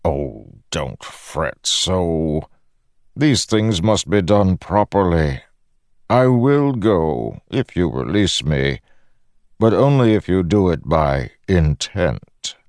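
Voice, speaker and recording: A man voicing an ancient malevolent god in a fantasy audiobook, smiling, paternal and patronizing, with unhurried theatrical delivery. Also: deep voice, big pitch swings, a little mellow, no echo, clean studio sound, voice pushed up, heavily acted. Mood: soothing, calculating.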